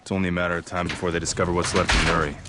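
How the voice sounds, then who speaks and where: A middle-aged covert operative , played by a male actor, speaks in a very deep, gravelly voice. He is gruff, clipped and deadpan, like a hardened spy in a cinematic video game. Action noise runs clearly behind him. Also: rapid-fire, natural voice. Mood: focused. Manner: terse, deadpan. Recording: noisy game action soundtrack.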